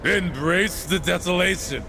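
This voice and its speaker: A man's voice: high voice, a little slow, over music. An actor voicing an irreverent, wisecracking gunslinger horseman in a video game, casual, teasing and sarcastic. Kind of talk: video game character acting.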